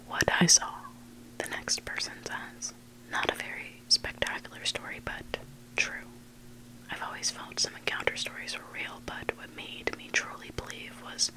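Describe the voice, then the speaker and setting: A person reading stories aloud in a breathy, hushed ASMR whisper, close to the mic. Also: poor audio. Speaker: host reading listener-submitted stories. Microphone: close mic, made for headphones.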